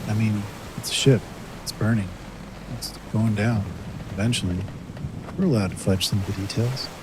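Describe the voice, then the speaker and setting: A low-pitched young male buddy played by an actor in an audio drama. He is casual, slangy and joking, with clear sea and ship noise around him. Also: normal speed, lightly acted. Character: laid-back, amazed, joking young buddy. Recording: noisy sea and ship ambience.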